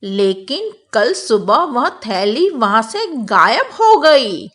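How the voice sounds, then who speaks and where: A woman plays a troubled, respectful petitioner in a moral cartoon. The high voice moves with lively, wide intonation. Each plea is slow, careful and deferential. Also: heavily acted. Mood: worried, pleading. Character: respectful, worried male complainant at royal court. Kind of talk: Hindi children's moral cartoon dubbing.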